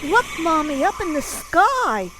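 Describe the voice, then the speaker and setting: Actress as wide-eyed curious kid for radio theater, high-pitched, very animated, eager. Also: female voice, measured pace, noisy background, exaggerated voice. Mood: curious, excited. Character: excited, curious small child.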